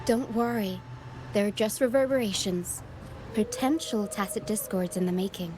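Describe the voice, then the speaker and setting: A woman's voice: medium pitch, moderate pace, lightly acted. A woman playing a protective, composed young woman guiding others in a video game cutscene. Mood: calm, cautioning. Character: protective young woman guide through dangerous mist. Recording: music behind the voice.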